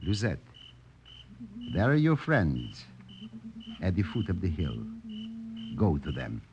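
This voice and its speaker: A low, dramatically swooping voice as a sly, fearless partisan. It is an old radio play recording with faint noise. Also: male voice, wildly swooping pitch, takes it slowly, highs cut off, heavily acted. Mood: grave, stern. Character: sly, grave, fearless French resistance fighter, the Fox. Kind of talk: 1950s radio drama acting. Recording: old radio broadcast with background hiss.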